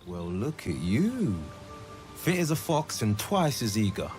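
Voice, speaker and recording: A smug, admiring charmer, speaks slowly with very lively intonation over faint music and rain. He is theatrical and sly, a fantasy game companion savouring his words. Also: medium pitch. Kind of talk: video game character acting.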